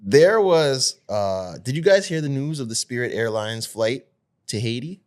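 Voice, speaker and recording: A man co-hosting a relaxed podcast with friends, talking at an ordinary middle pitch. He tells stories with animation and acts out the sound effects himself. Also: unhurried. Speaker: podcast co-host. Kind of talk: casual podcast chat.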